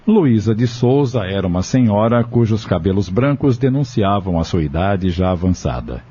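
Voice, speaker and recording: Mellow, very dark-voiced male narrator. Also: fairly low pitch, very animated, moderate pace, slightly rough. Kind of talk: scripted audio novel narration. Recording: dull lo-fi audio with music bed. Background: music.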